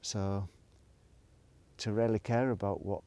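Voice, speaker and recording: A man's voice: fairly low pitch, steady tone, takes it slowly, mellow. A middle-aged man, rambling reflectively in a casual solo monologue, hesitant and thinking aloud as he goes. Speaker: solo video blogger.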